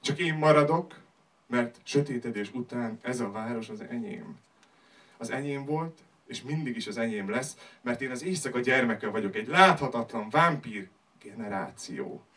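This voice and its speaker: A man's voice: medium pitch, fairly bright tone. A man performing slam poetry on a club stage in a declamatory, rhythmic delivery. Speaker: slam poet. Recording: live club recording, distant from mic.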